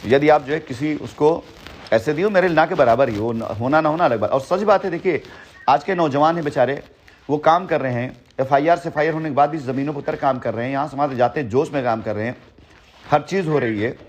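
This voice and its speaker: A man's voice: on the high side, fairly quick. A middle-aged man heading a company, talking assertively and boastfully on a phone call, repeating his points. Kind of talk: heated phone conversation.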